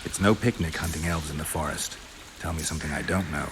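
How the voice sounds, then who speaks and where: An actor playing a stoic, world-weary monster hunter in a video game, terse and dryly understated. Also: male voice, a bass voice, steady tone, fast, noisy background. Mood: dry, matter-of-fact. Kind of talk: video game cutscene acting. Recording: rain and ambience behind the voice.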